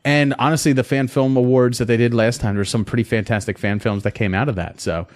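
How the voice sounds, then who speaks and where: A man co-hosting a podcast, chatting casually in a halting way and repeating words. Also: mid-range voice, steady tone. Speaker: podcast co-host.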